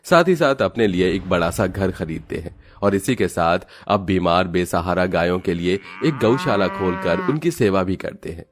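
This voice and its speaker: Low-voiced man narrating a cartoon story. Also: animated, moderate pace, hardly any pauses, a little hiss or hum.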